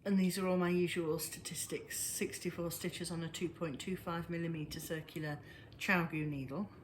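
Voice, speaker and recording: A woman chatting casually to camera about her knitting, a little breathless and wheezy, pausing often as she talks. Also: lowish voice, fairly even intonation, a little hiss or hum. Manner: halting, pauses often. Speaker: knitting vlogger. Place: at home indoors.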